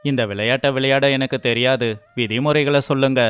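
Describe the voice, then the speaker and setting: Humble, honourable cartoon king, man acting, smooth and resonant, courteous and steady, soft music underneath. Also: mid-range voice, brisk, no echo, close to an everyday voice. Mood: earnest, firm. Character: humble, principled, dignified young king of a small kingdom. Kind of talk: moral story character dialogue.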